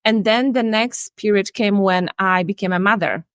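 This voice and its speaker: A woman's voice: mid-range voice, moderate pace, no room sound, clean studio sound. A woman in her forties from Poland with a Polish accent, earnestly telling her own story as a life and relationship coach on a video call. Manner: earnest, reflective, slightly non-native phrasing. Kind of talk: candid personal storytelling. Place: home room, indoors.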